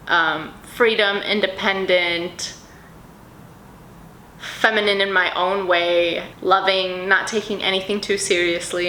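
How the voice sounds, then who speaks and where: A woman's voice: lowish voice, bright, forceful tone. Bubbly woman gushing in an echoey room. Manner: bubbly, rambling, dreamy descriptions with many fillers. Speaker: perfume vlogger. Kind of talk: casual vlog chat. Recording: echoey room with faint ambient noise.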